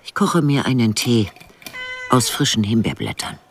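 An actress playing an elderly village widow in a radio drama, plainspoken and stubborn, with a dry, blunt manner. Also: female voice, a bass voice, very animated, normal speed, a little hiss or hum, heavily acted. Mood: matter-of-fact, dry. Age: older. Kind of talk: radio drama narration and dialogue.

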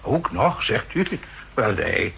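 Talkative village medic for a 1960s radio play, low and very raspy, brisk, matter-of-fact. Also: male voice, sing-song, fairly bright tone, exaggerated voice. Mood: jovial. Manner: brisk, muttering clinical asides, jovial. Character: chatty, matter-of-fact male village doctor. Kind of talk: 1960s Dutch radio drama acting. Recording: old radio play recording.

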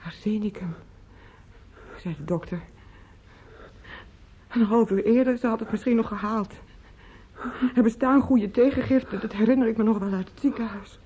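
An actress in a Dutch radio play playing an anguished, talkative female relative who breaks terrible news, theatrical, halting and pleading. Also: fairly high pitch, mellow, lightly acted. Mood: sorrowful. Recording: muffled, noisy old radio play recording.

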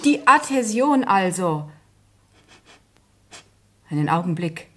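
A woman's voice: fairly low pitch, sing-song, slow, pushed and bright, exaggerated voice. A woman playing a stern, easily flustered physics teacher in a radio play, formal and lecturing. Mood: irritated, puzzled. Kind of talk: German radio play acting. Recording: noisy, hissy radio play recording.

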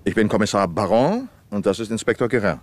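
Ein Mann spielt einen barschen Kommissar mit lebhafter Stimme. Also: male voice, fairly low pitch, expressive, quick pace, natural voice. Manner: brusque, commanding. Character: gruff middle-aged police commissioner. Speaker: police commissioner. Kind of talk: 1970s radio drama acting.

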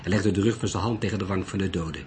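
A man narrating a literary detective story in a measured, deliberate way. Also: deep voice, fairly even intonation, darkish tone. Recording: noisy recording.

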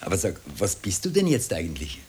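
Low-voiced, meek Austrian underling. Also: male voice, wildly swooping pitch, brisk, darkish tone. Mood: politely wary. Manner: deferential, understated, dryly naive. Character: meek, obsequious Austrian little man seeking favour. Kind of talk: 1960 radio drama acting. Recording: old radio tape with faint noise, clicks.

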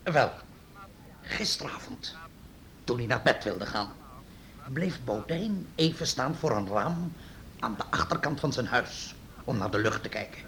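A deferential town sheriff in a radio play, hesitant and cautious with his superior. He talks slowly with a very animated rise and fall, over faint rumbling noise. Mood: earnest, confidential. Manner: obsequious, hesitant. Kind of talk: Dutch radio drama acting.

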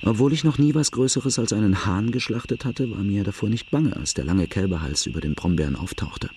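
A man's voice: fairly low pitch, a little fast, mellow, a little hiss or hum, lightly acted. An actor playing a brooding outlaw narrating his boyhood in a German radio drama, grave and confessional.

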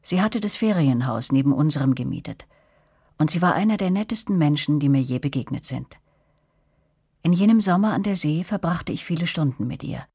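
A woman playing a young narrator in a radio play, recalling events in a measured, reflective way. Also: sits low, lively intonation, a little mellow, breathy edge, no room sound, studio quality, heavily acted.

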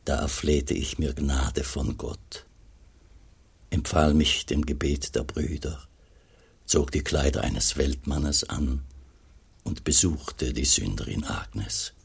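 An actor playing an old, pious, melancholy abbot in a German radio play, speaking gravely and solemnly as he looks back, with a gravelly, husky voice. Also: very deep voice, full character voice. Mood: solemn, wistful. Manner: slow, solemn, measured storytelling.

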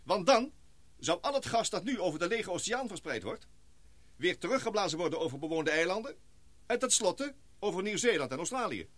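An actor playing an earnest, excitable scientist and expedition leader in a Dutch radio play, speaking formally and precisely. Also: male voice, high-pitched, fairly quick, no room sound, full character voice. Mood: grave, explanatory.

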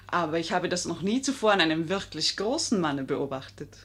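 A woman's voice: lowish voice, lively intonation, fairly quick, a bit bright. An actress playing a clever, proud, passionate lady in a 1950s German radio play, with a theatrical, eloquent and pointed way of speaking. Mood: defiant, taunting.

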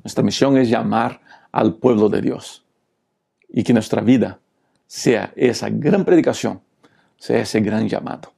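Gravelly pastor. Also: male voice, mid-range voice, dark tone. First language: Portuguese. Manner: preachy, repeated rhetorical questions. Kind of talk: Bible lesson commentary.

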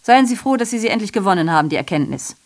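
An actress plays an ironic female messenger in a radio drama. She declaims at a medium pitch, sardonic and rambling, often interrupting her own train of thought. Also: animated, racing, close to an everyday voice. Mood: lecturing, ironic. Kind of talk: theatrical radio drama monologue.

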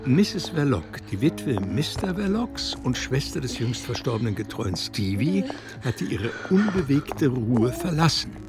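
A man narrating a literary radio play in a measured, dramatic storytelling manner. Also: medium pitch, very animated. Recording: studio production with music underneath. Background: music.

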